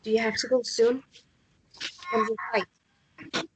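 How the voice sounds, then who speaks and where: A woman's voice: a little high, flat delivery, slow, husky, exaggerated voice, low-quality recording. A woman playing a wistful, dreamy mother by the coast in a naturalistic romance drama read, understated and reflective. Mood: subdued.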